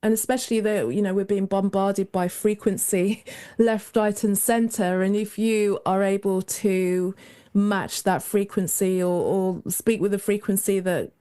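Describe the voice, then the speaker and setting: A woman whose voice sounds youthful, with a mellow, warm tone and a smooth, resonant finish. She talks reflectively, letting her thoughts run on in long, unhurried stretches. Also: mid-range voice, steady tone. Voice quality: young-sounding voice. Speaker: guest, mentor to creative women. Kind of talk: casual conversational interview.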